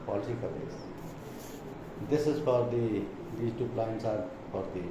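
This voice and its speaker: Male trainer, Indian accent, didactic explanatory delivery, captured in a reverberant room with clear noise. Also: lowish voice, normal speed, muffled recording. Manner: didactic, reading points then explaining them. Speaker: insurance trainer. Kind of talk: explanatory product presentation.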